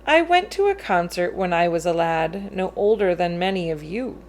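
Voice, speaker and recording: A woman reading a rhyming children's picture book aloud, with expressive, deliberate phrasing that leans on the rhymes. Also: lowish voice. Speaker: read-aloud storyteller.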